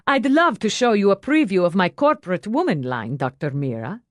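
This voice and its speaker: A woman voicing a big, gentle, adoring man who speaks sweetly and earnestly, in an audiobook. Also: mid-range voice, sing-song, moderate pace, no room sound, clean studio sound, close to an everyday voice.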